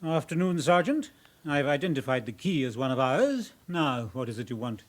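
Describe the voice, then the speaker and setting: An actor playing a prim, guarded safe-deposit manager in a radio drama, speaking in a formal, precise, officious way. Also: male voice, medium pitch, lively intonation, normal speed, natural voice. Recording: roomy, echoing sound.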